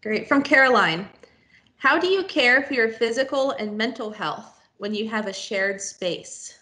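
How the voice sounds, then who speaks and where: A young woman moderates over a video call from a room at home, her voice high and bright. She reads audience questions aloud in a brisk, friendly manner. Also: expressive, low-quality recording. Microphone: video call webcam.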